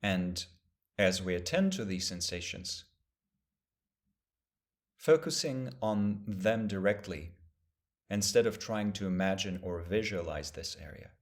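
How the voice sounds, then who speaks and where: Male meditation guide, low register. Gentle, measured instructional delivery with spacious pauses. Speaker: meditation teacher.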